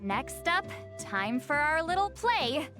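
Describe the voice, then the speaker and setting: A woman voicing a spirited granny in an anime game, feisty, teasing and quick to scold. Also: sits high, very animated, over music, full character voice.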